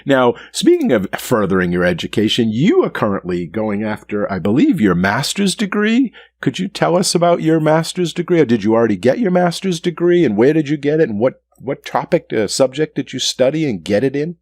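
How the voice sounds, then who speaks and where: A man's voice: medium pitch, big pitch swings, a little fast, hardly any pauses, darkish tone, no room sound. A man hosting an interview, talking in a chatty way and asking long, rambling questions. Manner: chatty, many "you know" fillers. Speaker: interview host, chef. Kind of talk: conversational interview.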